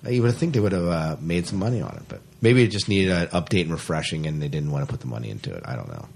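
A man hosting a podcast in casual chat, speaking in a conversational, slightly halting and repetitive way. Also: low-pitched, animated, vocal fry, darkish tone, a little hiss or hum. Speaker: podcast host.

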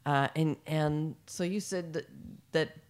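A woman hosting a casual interview, chatty and quick to laugh. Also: sits low, steady tone, a little mellow. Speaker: podcast host.

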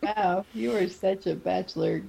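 A woman chatting casually as a podcast host in a giggly, animated way. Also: medium pitch, unhurried, gravelly, breathy edge, low-quality recording. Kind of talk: casual TV recap chat.

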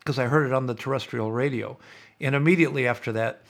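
A man's voice: mid-range voice, fast talker, vocal fry. A middle-aged man hosting a podcast as a sarcastic, opinionated casual monologue, congested and losing his voice. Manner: sarcastic, mock-chanting opponents. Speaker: podcast host.

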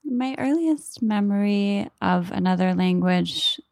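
An American woman in her thirties telling personal stories in a measured, thoughtful way, often pausing mid-phrase. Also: mid-range voice, animated, creaky, a little mellow, dry recording, clean studio sound. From Oklahoma, United States. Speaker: author and literary translator. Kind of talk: reflective personal storytelling.

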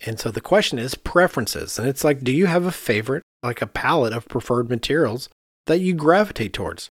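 A man's voice: medium pitch, lively intonation, brisk, no room sound, studio quality. A middle-aged man hosting a podcast in casual conversation, speaking candidly and emphatically and repeating phrases to make his point. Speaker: podcast host, architect.

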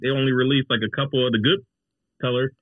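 A man's voice: mid-range voice, flat delivery, fast talker, no echo. A man in his forties chatting casually as a podcast guest. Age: 40s. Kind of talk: casual chat. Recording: thin phone-like band-limited sound.

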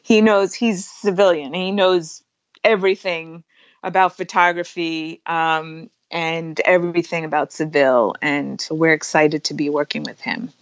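A woman with a slightly low voice chats as an interview guest, enthusiastic about her work. Her delivery is informal and conversational. The sound is very dry and a little dull. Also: steady tone, measured pace, dry recording. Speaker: photographer and workshop teacher, interview guest. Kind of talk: casual interview chat.

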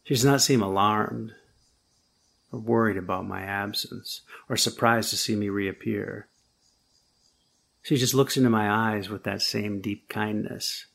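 A man with a low, gravelly voice delivers a sermon. His tone is husky and a little rough. He speaks slowly and thoughtfully, rising to firmer emphasis at the key points. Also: a bit bright. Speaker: preacher. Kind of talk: sermon with first-person storytelling.

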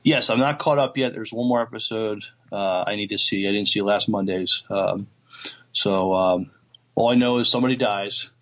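A man co-hosting a casual podcast, speaking in a halting way with frequent pauses. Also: fairly low pitch, a bit bright. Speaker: podcast co-host.

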